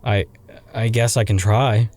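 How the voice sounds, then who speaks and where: A man's voice: on the low side, steady tone, a little slow, a little mellow. A man playing a loyal, somewhat unsure young hero with a casual manner in an audio drama. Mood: hesitant. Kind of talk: radio drama acting.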